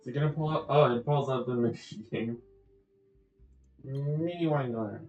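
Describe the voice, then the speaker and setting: A man's voice: medium pitch, normal speed, darkish tone, distant mic. A man giving casual let's-play commentary, rambling and thinking aloud as he plays. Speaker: game streamer playing through a story quest. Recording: echoey, dull audio with music. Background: game music.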